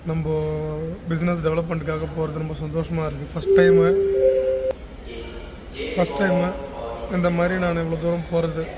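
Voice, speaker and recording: A man's voice: fairly high pitch, monotone, moderate pace, long pauses. A man from Chennai with a Tamil Nadu accent, talking casually as a business founder filming a travel vlog in an airport. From Chennai, India. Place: busy international airport. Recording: noisy public space, clear background noise.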